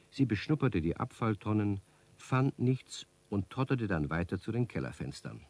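A man narrating a children's radio play as an unhurried storyteller. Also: on the low side, darkish tone, full character voice. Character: unhurried male storyteller addressing young listeners.

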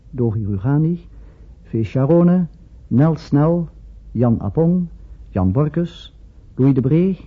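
A male announcer reads formally at a very slow, deliberate pace. His slightly high voice comes through a thin old radio recording. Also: breathy edge, narrow, tinny audio. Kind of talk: formal reading of play credits.

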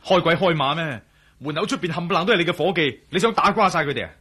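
An actor playing a shrewd, teasing adventurer in a radio drama, with a wry, colloquial manner and a Hong Kong Cantonese accent. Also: male voice, fairly high pitch, animated, fast talker, pushed and bright, slightly rough, heavily acted. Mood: urgent. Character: shrewd, teasing male adventurer, cool under pressure.

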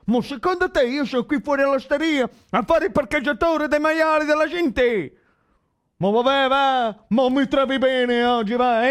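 A very high-voiced, pompous fascist blusterer from a radio play. He rambles in colloquial phrases and throws his self-importance around like a threat. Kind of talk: theatrical radio monologue.

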